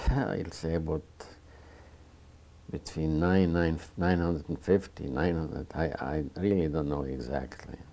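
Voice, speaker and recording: An older man in his seventies, from a small town in Romania, speaks slowly in a deep, gravelly voice. He searches for words and restarts often, and his tone is dark and mellow. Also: fairly even intonation. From Bukovina, Romania. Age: 70s. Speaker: Holocaust survivor interviewee. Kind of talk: oral history interview.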